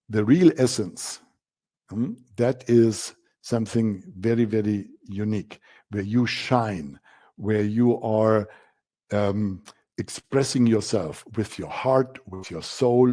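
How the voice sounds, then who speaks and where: A man's voice: lowish voice, slow, mellow. A man from Germany with a German accent, presenting a coaching masterclass as the instructor and stressing key words by repeating them.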